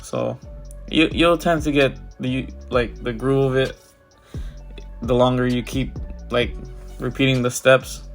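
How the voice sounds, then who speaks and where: A man's voice: mid-range voice, little pitch movement, measured pace, creaky, long pauses, soft background music. A barber with a congested, stuffy voice, talking casually over his haircut tutorial. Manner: casual, loose, filler-heavy walkthrough. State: congested from a cold.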